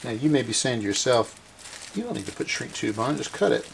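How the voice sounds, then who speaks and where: A man with a medium-pitched voice full of vocal fry talks through a hands-on repair. He explains each step methodically in plain, informal language. A light noise sits behind him on the recording. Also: big pitch swings, a little fast, poor audio. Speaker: host of a radio restoration series.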